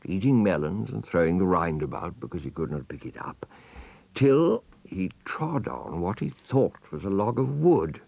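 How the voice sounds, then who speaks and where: Deep voiced guy reading a kids story, very expressive n theatrical, dry close sound. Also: gravelly, no room sound. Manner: theatrical, savouring words, addressing the listener. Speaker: audiobook narrator. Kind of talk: expressive children's storybook narration.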